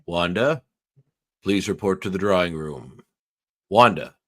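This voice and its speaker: A man's voice: low voice, animated, a little slow. A man playing a grave, commanding mutant mastermind in a radio theatre read, solemn and imperious.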